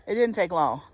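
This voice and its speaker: A middle-aged woman talks casually to her viewers, calling them y'all and restarting her sentences as she goes. She speaks outdoors in an open farm field, recording into a phone held at arm's length. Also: lowish voice. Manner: chatty, keeps addressing viewers as y'all, frequent restarts. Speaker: homestead vlogger. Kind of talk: casual chat to camera.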